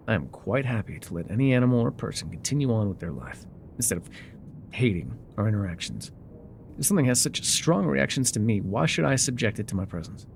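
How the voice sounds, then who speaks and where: A man acting as a gruff, stubborn trail guide in an audio roleplay. His voice is mid-pitched and gravelly, with lively rises and falls. He talks straight to the listener in a wry, folksy way, over a faint low rumble. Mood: guarded, dry. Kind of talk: immersive ASMR roleplay acting, talking to the listener.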